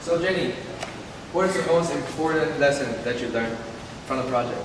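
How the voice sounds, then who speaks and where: A man's voice: mid-range voice, moderate pace. A young man speaking in an informal student interview, asking and reflecting. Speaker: student team member and interviewer. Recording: very echoey, distant, noisy room.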